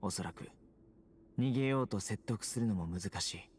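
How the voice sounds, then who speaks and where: A man's voice: fairly low pitch, a little mellow, a little hiss or hum, lightly acted. Grave, composed young man, voiced by an actor. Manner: measured, grave, matter-of-fact. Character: stern, composed young man, resolute desert guardian. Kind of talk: Japanese anime game voice acting.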